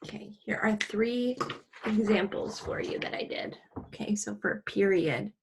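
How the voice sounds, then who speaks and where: A woman teaching a children's English lesson, explaining in simple, deliberate phrases and posing questions to her pupils. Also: medium pitch, creaky, a little hiss or hum.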